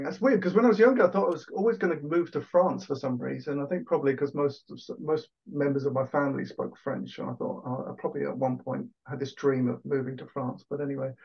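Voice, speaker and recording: A man's voice: a little high, creaky, breathy edge, highs cut off. An Englishman talking casually as an interview guest on a video call, chatty and self-deprecating with lots of fillers. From England.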